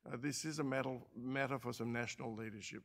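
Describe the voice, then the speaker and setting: An older Australian man chairing a council meeting as administrator, speaking in a halting way with many fillers and restarts, into a desk mic in a large council chamber. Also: medium pitch, fairly even intonation, vocal fry. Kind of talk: formal meeting remarks.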